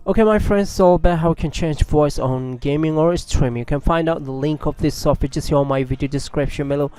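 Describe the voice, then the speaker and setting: A man hosting a tech tutorial, narrating software steps into a computer microphone. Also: fairly high pitch, brisk, clear, resonant tone, faint music behind. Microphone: USB microphone at a computer.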